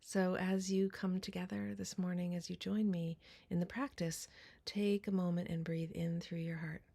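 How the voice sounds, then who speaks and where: A woman leading a guided meditation in a soft, breathy, deliberate voice with long pauses. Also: on the low side, flat delivery.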